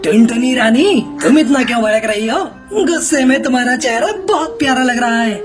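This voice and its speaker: Cartoon bully crow, played by a woman: male, smug, wheedling suitor, high voice, music underneath. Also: a little fast, natural voice. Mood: smug, wooing. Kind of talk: children's cartoon voice acting.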